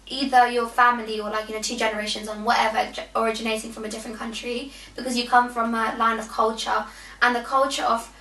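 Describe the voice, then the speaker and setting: A woman's voice: fairly high pitch, level tone, fast, pushed and bright, reverberant, recorded from a distance, rough recording. A young woman from Nepal with a British accent, talking in a casual podcast chat and rambling with lots of fillers. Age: young adult. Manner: rambling, many fillers and restarts. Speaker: podcast co-host.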